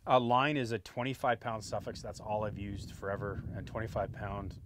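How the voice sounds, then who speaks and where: A man's voice: on the low side, moderate pace, heavy background noise. A man in his forties hosting a fishing show, chatting casually about his gear outdoors on a boat with a lapel mic. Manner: relaxed and chatty, tags lines with "right?".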